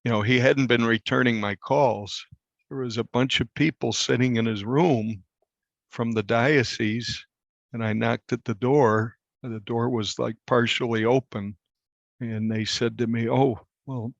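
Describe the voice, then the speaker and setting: An older man, a reporter, recalling a story in a conversational interview with measured, halting phrases. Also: medium pitch, fairly even intonation, vocal fry, dry recording, dull audio.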